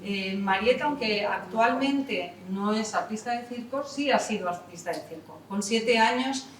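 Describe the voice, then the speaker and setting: A woman's voice: mid-range voice, measured pace, distant mic, a little hiss or hum. Woman introducing, echoey hall. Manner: chatty, with many fillers and self-corrections. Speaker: artistic director and moderator. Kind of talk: introducing a conference panel. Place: theatre hall.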